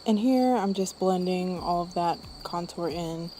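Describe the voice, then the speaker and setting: An unwell woman with throat trouble giving a casual makeup tutorial voice-over in a low-energy, subdued manner. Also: unhurried, dark tone, slight background noise. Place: voice-over recorded apart from the footage.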